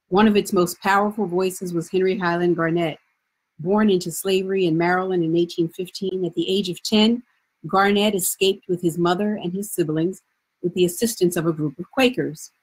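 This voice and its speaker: A middle-aged woman presenting scripted historical narration in a measured, formal way. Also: lowish voice, breathy edge, low-quality recording. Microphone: video call.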